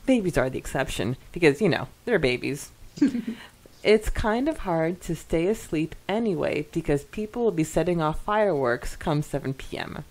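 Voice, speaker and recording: A woman with a slightly low voice talks casually as a podcast co-host. She sounds chatty and informal, as if going through comments with a friend. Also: animated, normal speed, darkish tone. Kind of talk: casual podcast chat mixed with reading listener comments aloud.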